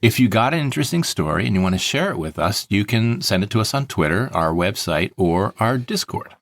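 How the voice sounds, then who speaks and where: A man's voice: lowish voice, big pitch swings, a little fast, dry recording, clean studio sound. A man hosting a podcast, explaining things in a casual, methodical way. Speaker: podcast host.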